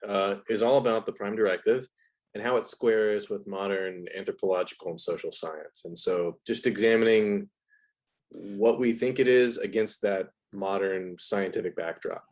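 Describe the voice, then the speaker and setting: A man's voice: lowish voice, steady tone, moderate pace, a little mellow. A man speaking as an interview guest, explaining things casually with articulate, wordy, academic phrasing. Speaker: interview guest, video essay presenter.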